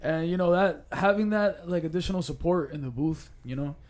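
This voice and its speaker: A man's voice: fairly high pitch, fairly quick, a little mellow. A man of twenty-four chatting casually as a podcast guest, with slangy, profane language. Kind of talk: casual chat.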